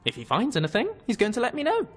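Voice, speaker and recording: A man's voice: very high-pitched, wildly swooping pitch, rapid-fire, soft background music, full character voice. A man voicing an eager, bookish young scholar adventurer in a fantasy game, earnest, articulate and enthusiastic. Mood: earnest, curious. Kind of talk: fantasy game companion dialogue.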